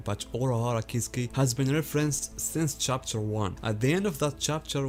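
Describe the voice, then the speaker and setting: A man with noticeably accented English lays out a long argument for his viewers. He talks in an explanatory, lecturing way, often turning straight to the audience. His pitch sits in the middle range for a man. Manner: explanatory, addresses viewers directly. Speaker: anime theory YouTuber. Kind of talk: scripted video commentary.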